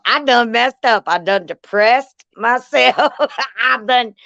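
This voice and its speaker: A woman drawls slowly in a casual chat, chiming in and laughing. Her voice is gravelly with a bright edge. The sound is dry and dull, with a lo-fi feel. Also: on the high side, dry recording. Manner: slow, drawling, chimes in, laughs often. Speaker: co-host.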